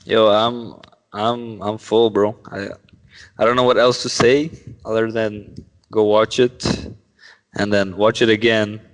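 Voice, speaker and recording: A man's voice: fairly low pitch, level tone, slow pace. A young man co-hosting a podcast, chatting casually with friends in slangy, profane language. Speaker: podcast co-host. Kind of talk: casual chat among friends. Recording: long reverberant room sound.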